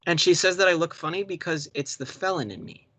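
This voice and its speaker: A man's voice: sits high, a little fast, a bit bright. A young man guesting on a casual podcast over a voice call, speaking in slangy, playfully sarcastic banter.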